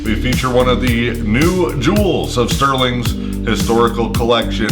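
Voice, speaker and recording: A man hosting a casual local interview, with halting, hesitant phrasing. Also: mid-range voice. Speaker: host and interviewer. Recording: roomy echo, music underneath.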